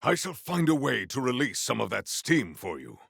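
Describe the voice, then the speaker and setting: An actor playing a noble, earnest warrior hero in a video game, speaking in a pompous, formal and grandiose way. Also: male voice, medium pitch, big pitch swings, normal speed, gravelly, fairly bright tone, breathy edge, no room sound, clean studio sound, full character voice. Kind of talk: video game character voice acting.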